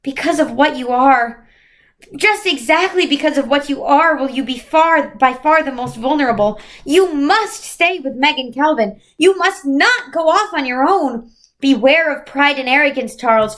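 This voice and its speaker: A woman's voice: sits high, normal speed, a bit bright. A woman voicing a grave, protective female guardian being from a storybook, giving emphatic commands. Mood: grave warning.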